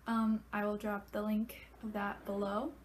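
A woman's voice: medium pitch, level tone, measured pace, a little hiss or hum. A young woman in her twenties, congested, chatting casually and loosely to camera in a small bedroom, swearing freely. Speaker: vlogger.

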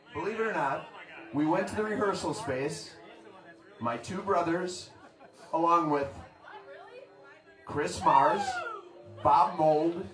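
A middle-aged man fronting a band, chatting to the crowd between songs on a tavern stage with chuckling asides. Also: on the high side. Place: small tavern stage, indoors. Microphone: stage vocal mic, picked up by a camera in the room. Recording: echoey bar, distant, band and crowd noise.

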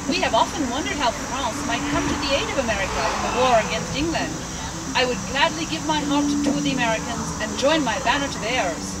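Woman playing an idealistic young French officer, high-pitched. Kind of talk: theatrical puppet show dialogue. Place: outdoor puppet theatre, far camera. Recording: distant, very noisy outdoor recording.

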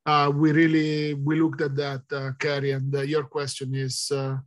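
A man's voice: a little high, monotone, normal speed. A middle-aged man with an Italian accent answering audience questions in an online talk, hesitant with many fillers, heard over a video call. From Italy. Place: indoors, virtual background.

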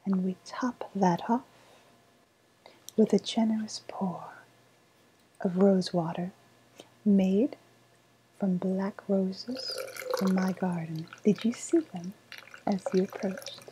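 A woman playing a sly woodland witch in a softly spoken ASMR roleplay, half-whispering in a deliberate, sweetly ominous manner. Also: mid-range voice, slight background noise, close to an everyday voice, poor audio. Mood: hushed, pleased. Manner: slow, deliberate, hushed, ominous.

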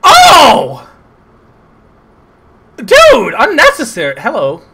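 A man giving casual, excitable, sarcastic commentary over a video game playthrough, swearing often. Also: extremely high pitch, drawn-out words, noisy background. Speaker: gameplay commentator. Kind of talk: casual let's play commentary.

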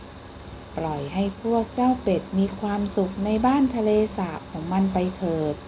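A woman voicing a kind-hearted, protective little dwarf, the youngest brother, pleading gently in a children's storybook. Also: mid-range voice, expressive, unhurried, noisy background, natural voice.

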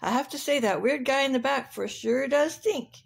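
A humble, loyal donkey read by a woman, slightly high in pitch. The animal is earnest and plainspoken, with a blunt streak. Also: moderate pace, gravelly, breathy edge, full character voice. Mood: devoted, grumbling.

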